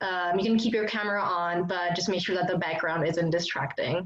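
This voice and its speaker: A woman's voice: lowish voice, fairly even intonation, fast. A young woman from Bangladesh, a native Bengali speaker, hosting an online event and reading an introduction with frequent um fillers and small restarts. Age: young adult. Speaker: event host, student leader. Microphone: video call. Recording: roomy dull video call audio.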